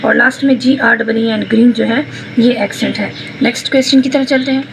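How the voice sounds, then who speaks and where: A woman with a Pakistani accent explaining exercises as a teacher, spelling out words and switching between explanation and slowly read phrases. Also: on the high side, steady tone, creaky. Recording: noticeable background noise.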